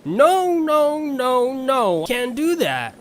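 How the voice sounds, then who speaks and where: A man's voice: very high voice, sing-song, drawn-out words, heavy background noise, heavily acted. A man voicing a sly, folksy riverboat captain with a flowery, drawling, theatrical manner. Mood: teasing.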